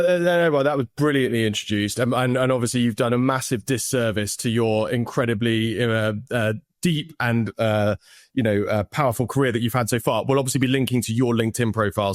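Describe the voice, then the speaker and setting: A man's voice: medium pitch, no room sound, clean studio sound. A man hosting an interview podcast, effusive and eager, often repeating words and restarting sentences as he asks questions. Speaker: podcast host.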